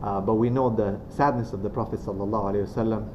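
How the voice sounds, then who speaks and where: A man from Romania giving a religious talk to students as a guest speaker. He is soft-spoken and unhurried, and he pauses often and uses many fillers. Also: lowish voice, steady tone. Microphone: venue microphone. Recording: dull lo-fi sound with clear background noise.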